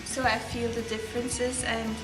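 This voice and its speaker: A woman's voice: on the high side, little pitch movement, normal speed. A teenage girl from Belarus, a native Russian speaker, answering interview questions in English with many fillers and restarts. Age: teens. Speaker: exchange student. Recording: echoey room with music behind.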